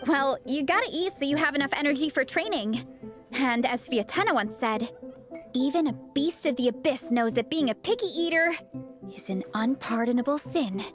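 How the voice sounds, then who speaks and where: A bubbly young heroine with a high voice, earnest and eager, as heard in an anime game. Music plays clearly behind the lines. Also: female voice, lively intonation, moderate pace. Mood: upbeat, eager. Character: cheerful, ravenous young heroine, earnest comic-book fan. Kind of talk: anime-style game voice acting.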